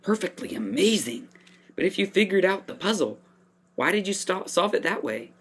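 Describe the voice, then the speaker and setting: A woman's voice: on the low side, very animated, normal speed, a little hiss or hum. A woman playing a curious, clever, polite young boy in a family audio drama, earnest and inquisitive. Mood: surprised, curious.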